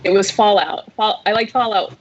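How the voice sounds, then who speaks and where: A woman's voice: mid-range voice, normal speed, husky. A woman co-hosting a live entertainment show, chatting informally about pop culture with plenty of "like". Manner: informal, heavy use of "like". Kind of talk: casual chat, opinion on pop culture. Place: remote video call livestream. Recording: dull lo-fi livestream call audio.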